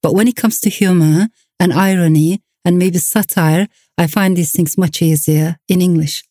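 A woman whose first language is Turkish, a novelist answering interview questions in a thoughtful, measured way with soft fillers. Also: fairly low pitch, fairly even intonation, no echo, clean studio sound. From Turkey. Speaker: novelist guest.

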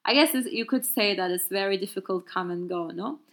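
A woman teaching a language in English, which is not her first language. She talks in a loose, chatty way, full of fillers and restarts, at a middling pitch. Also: brisk. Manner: casual, chatty explaining with many fillers and restarts. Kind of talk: explaining a Turkish dialogue in English.

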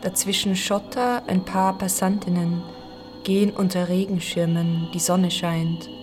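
A woman performing a scripted audio drama, reciting fragments of a scene in measured short phrases. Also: on the low side, fairly even intonation, music in the background, close to an everyday voice.

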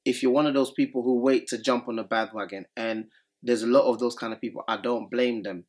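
A man co-hosting a podcast in casual chat, animated and acting out his relatives' voices, English not his first language. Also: medium pitch, a little fast. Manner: animated, acts out relatives' voices mid-story. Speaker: podcast co-host.

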